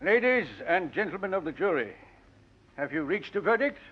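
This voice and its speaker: An actor playing a formal, commanding judge in a 1940s radio courtroom drama. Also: male voice, sits high, big pitch swings, moderate pace, husky, full character voice. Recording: muffled old radio broadcast.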